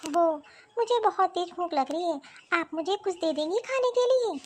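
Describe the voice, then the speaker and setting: A woman voicing a meek, polite young mother with a plaintive manner in an animated family drama. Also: extremely high pitch, normal speed. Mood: meek pleading.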